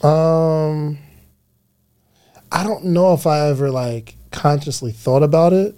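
A man from New Jersey with a New Jersey accent, telling stories as a podcast guest in a relaxed way with many restarts. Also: fairly high pitch, takes it slowly, clean tone. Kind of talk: casual podcast conversation.

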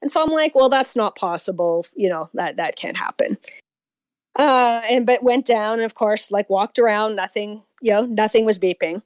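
A woman from Canada with a Canadian accent, calling in to tell a story on a radio show, talking fast and rambling with fillers and restarts. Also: medium pitch, no room sound. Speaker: caller. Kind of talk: phone-in storytelling.

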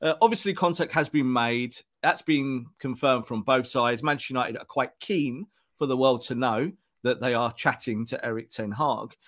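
A man who is a football journalist, co-hosting a podcast in a relaxed, conversational way. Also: mid-range voice, moderate pace. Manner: relaxed, conversational, laughs easily. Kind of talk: casual football podcast chat.

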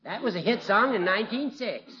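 Old small town judge, genial, drily funny, very lively pitch, muffled old radio. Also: male voice, a little fast. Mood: dry, amused. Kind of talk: 1950s radio comedy acting. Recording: dull lo-fi old radio recording.

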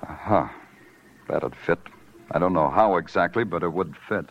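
Dull old radio recording, hard-boiled police detective, low voice, terse, brooding, weary. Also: male voice, normal speed. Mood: dry, curt. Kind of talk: 1940s radio crime drama acting and moody narration.

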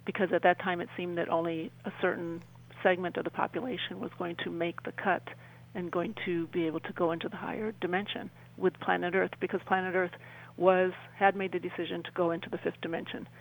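Middle-aged female guest, conversational and halting, with a fry-laden voice on the low-variation side. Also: little pitch movement, rough recording. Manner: halting, often repeating and restarting phrases. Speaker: interview guest recounting personal encounters. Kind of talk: conversational personal account.

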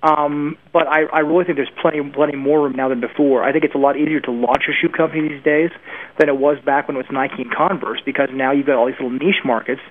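Higher-pitched man on a phone-like line. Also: fairly even intonation, fast talker, a little hiss or hum. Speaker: interview guest, internet marketing expert. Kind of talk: conversational expert commentary. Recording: narrow phone-like band, lo-fi internet radio.

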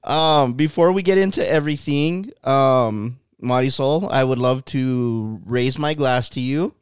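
A man's voice: mid-range voice, a little slow, clean tone, dry recording, clean studio sound. A man co-hosting a podcast in casual chat, laid-back, swearing freely and laughing often. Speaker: podcast co-host.

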